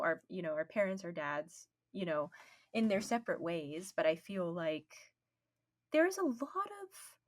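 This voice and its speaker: A woman hosting a podcast interview, talking casually and affirming her guest, with many "you know" and "right" fillers. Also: on the low side. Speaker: podcast host.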